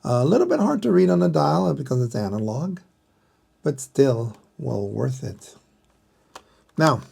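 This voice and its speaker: A middle-aged man giving a casual hands-on explanation in a halting manner, as if thinking aloud. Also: mid-range voice, creaky, dark tone, husky. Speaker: vlogger showing a vintage radio.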